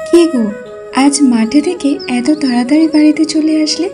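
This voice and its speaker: A woman's voice: high voice, normal speed, close to an everyday voice. A woman narrating a cartoon folk tale and voicing its village wives. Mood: curious. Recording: music behind the voice.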